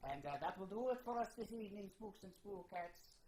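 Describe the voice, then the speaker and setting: A man's voice: high-pitched, expressive, fairly quick, darkish tone, slight background noise. Man hosting, in a roomy echo. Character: mad scientist horror host, chatty and genial. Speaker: horror show host. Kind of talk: comic in-character interview.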